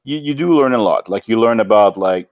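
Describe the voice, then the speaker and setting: Male guest from Canada, deep voice, dry close sound, loose conversational rambling. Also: animated, a little fast, no room sound, muffled recording. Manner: rambling, informal, filler-heavy with many "like"s. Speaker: interview guest. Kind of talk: casual chat, personal storytelling.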